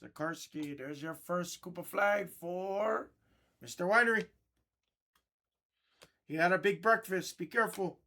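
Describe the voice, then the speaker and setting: A man's voice: sits high, unhurried, long pauses, sharp tone. A man from Oahu with a Hawaiian accent, hosting a live card break and chatting easily with viewers while he opens packs. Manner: chatty, teasing, easygoing banter. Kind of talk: live stream chat while opening cards.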